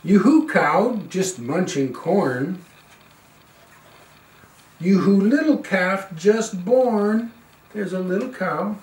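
An older man, around seventy, reading a children's picture book aloud in an expressive, singsong and playful way. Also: sits high. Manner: slow, singsong, playful calls with quieter asides. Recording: roomy, a little far from the mic.

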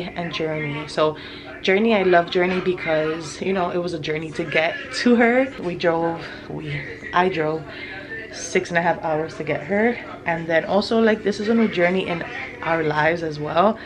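A woman's voice: lowish voice, moderate pace, crowd noise. A woman in her thirties chatting casually to her phone in her home kitchen, bubbly and rambling, with little giggles. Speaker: family vlogger. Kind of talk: casual vlog chat. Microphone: phone at arm's length.

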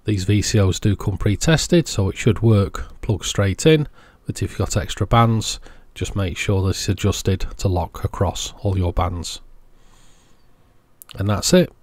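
A man's voice: lowish voice, a little slow, darkish tone, dry recording. A man narrating a hands-on tutorial step by step in a plain, methodical way. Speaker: host of electronics repair tutorials.

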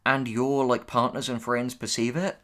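Sardonic, sweary man with mid-pitched voice. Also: little pitch movement, moderate pace, a bit bright. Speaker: co-host and writer reacting to writing tips. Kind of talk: casual commentary, reading posts aloud.

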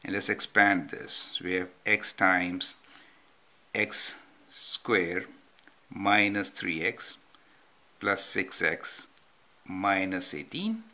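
A man's voice: sits low, lively intonation, slow, long pauses, bright, forceful tone. A man explaining a math problem step by step as he writes it out, often checking in with "right?". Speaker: math teacher. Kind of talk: whiteboard math tutorial. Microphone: off-camera voice over handwritten notes.

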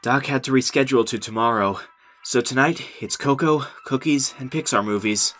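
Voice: mid-range voice, a bit bright, faint music behind, close to an everyday voice. A performer voicing a young male goat monster, earnest and haunted by guilt, in an amateur comic dub. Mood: casual, careful. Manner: earnest, emotionally volatile, halting when ashamed.

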